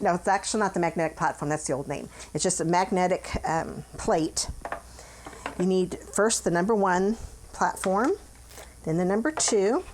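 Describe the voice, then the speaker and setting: Woman, middle-aged, from the US, craft tutorial host, friendly and chatty, talks while working. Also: mid-range voice. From United States. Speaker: craft demonstrator and channel host. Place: home craft office.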